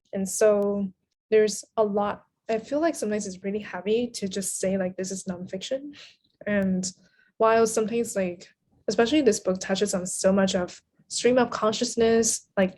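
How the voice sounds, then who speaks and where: Female podcast guest, mid-pitched and mellow, reflective literary conversation, thoughtful, searches for words. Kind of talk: reflective book discussion.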